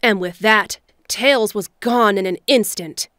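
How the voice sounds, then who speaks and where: A woman's voice: mid-range voice, measured pace, fairly bright tone, no echo, clean studio sound. A woman reading scripted storybook narration as the narrator of an audio drama. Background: music.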